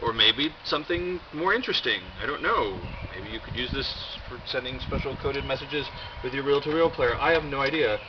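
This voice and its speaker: Low-voiced American man, noisy lo-fi recording. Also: normal speed, hardly any pauses. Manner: wry, deadpan humour. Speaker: video host. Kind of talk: casual rambling show-and-tell. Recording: dull lo-fi audio, loud background noise.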